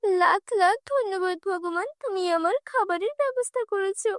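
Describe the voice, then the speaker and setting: A woman's voice: very high voice, normal speed, dry recording, studio quality, pitched above the performer's own voice. A woman voicing a meek, mistreated young stepdaughter in a cartoon, timid and earnest. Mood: grateful.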